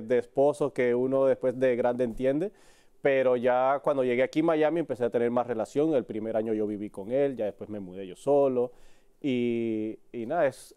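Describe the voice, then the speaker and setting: A Cuban-born man with a Mexican accent answers interview questions candidly, often in short, broken phrases. His voice is mellow and dark. His pitch sits in the middle for a man. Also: clean tone. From Cuba. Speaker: sports reporter, interview guest. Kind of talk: casual interview conversation.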